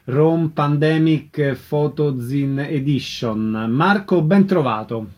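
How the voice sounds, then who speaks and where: A man's voice: a little high, slow, darkish tone. A man hosting an arts programme on the radio, presenting and interviewing guests.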